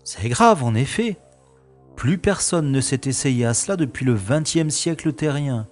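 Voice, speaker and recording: Slow-talking, jaded space agent played by a man. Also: medium pitch, expressive, soft background music, natural voice. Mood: curious, wry. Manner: sardonic, world-weary complaining. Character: bored, cynical male space special agent, grumbling. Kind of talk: French science-fiction audio drama acting.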